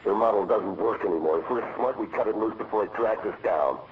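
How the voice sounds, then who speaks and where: A man's voice: on the low side, brisk, phone-quality sound, natural voice. A man playing a cynical, power-hungry rogue agent in a video game, persuasive and coolly self-assured, with noise behind him. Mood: intense, persuasive. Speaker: rogue agent and faction leader. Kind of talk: cinematic video game acting.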